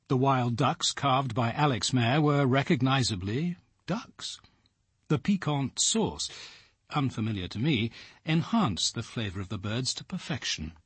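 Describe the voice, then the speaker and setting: A man playing a cultured diarist narrating a radio drama in a formal, fastidious way. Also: mid-range voice, husky, no room sound. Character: precise, cultured male diarist recounting events.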